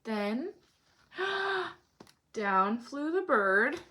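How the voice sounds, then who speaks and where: A woman's voice: sits high, sing-song, extremely slow, bright, forceful tone. A woman storyteller reading a children's picture book aloud expressively.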